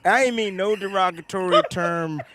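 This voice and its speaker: Loud animated man hosting sports talk. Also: high voice, moderate pace, background noise. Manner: loud, animated, laughs often. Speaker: sports show host. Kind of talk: casual sports talk livestream.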